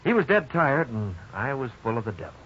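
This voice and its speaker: Young husband, animated delivery. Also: male voice, mid-range voice, a little fast. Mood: somber, reminiscing. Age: 20s. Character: upbeat young husband and father, advertising salesman. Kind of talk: 1950s radio drama acting. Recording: muffled, noisy 1950s radio recording.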